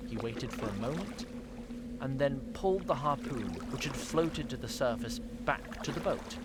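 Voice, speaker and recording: A man reads scripted fantasy narration in a measured storytelling manner. His voice is medium in pitch, with heavy water and rowing ambience behind it. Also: lively intonation, heavy background noise.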